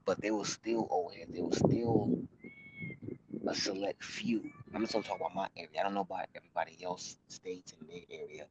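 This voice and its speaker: A man of about thirty giving casual, slangy street commentary in a halting, repetitive way, recorded outdoors. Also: sits low. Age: late 20s, about thirty. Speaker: vlog host. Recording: muffled, noisy outdoor recording with clicks.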